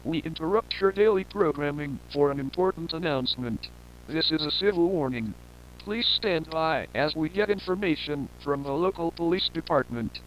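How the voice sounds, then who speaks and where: A man's voice: a little high, normal speed, lightly acted. Muffled, humming emergency broadcast, acted by a man. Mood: formal, grave. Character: male news reporter giving a grave civil emergency warning. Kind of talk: scripted emergency broadcast announcement. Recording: muffled lo-fi audio with noisy hum.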